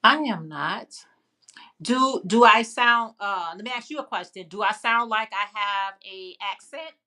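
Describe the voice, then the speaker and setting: A woman's voice: mid-range voice, expressive, measured pace, pushed and bright. A woman with a Chicago accent hosting a casual live chat, rambling and answering viewer comments. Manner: chatty, rambling, frequent fillers and restarts. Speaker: livestream host.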